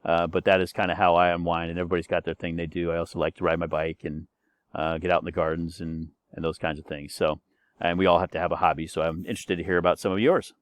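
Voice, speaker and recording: Low-voiced man in his sixties, chatty. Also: little pitch movement, fast, dry recording. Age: 60s. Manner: chatty, rambling, many fillers and restarts. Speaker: course instructor. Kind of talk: recorded course introduction lecture.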